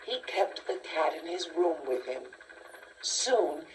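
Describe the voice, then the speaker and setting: A woman reading a scripted children's story in a measured, expressive storytelling manner. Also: lowish voice, slight background noise. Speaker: storybook narrator. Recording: distant-sounding cassette tape transfer. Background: music.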